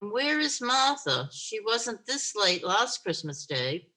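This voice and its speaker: A woman as a fretful, lively mother. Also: medium pitch, takes it slowly, full character voice. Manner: fretful, questioning. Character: anxious, busy mother cooking dinner. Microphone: video call.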